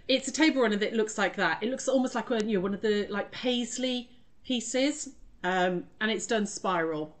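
A woman's voice: medium pitch, a little hiss or hum. A woman in her forties who is full of cold, her voice snuffly and stuffed up. She chats in a bubbly, friendly way, greeting viewers one by one as she hosts a sewing tutorial. She is picked up by a desk webcam in her home craft studio. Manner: bubbly, greets viewers by name, laughs often. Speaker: craft shop owner hosting a live sewing tutorial. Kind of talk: chatty live stream.

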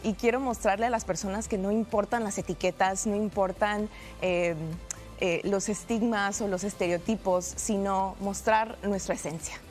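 A woman's voice: medium pitch, fairly even intonation, moderate pace, darkish tone, faint music behind. A young woman from the United States, a pageant winner, talking earnestly and upbeat in a television studio interview. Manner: earnest, upbeat, some restarts and fillers. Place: TV studio set.

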